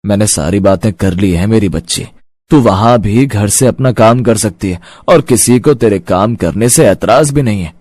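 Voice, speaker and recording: Man as caring, lower-voiced rickshaw-driver father. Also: normal speed, a little mellow, no room sound, clean studio sound, close to an everyday voice. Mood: reassuring. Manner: earnest, reassuring, affectionate. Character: caring middle-aged father, humble auto-rickshaw driver. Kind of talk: Hindi moral cartoon story acting.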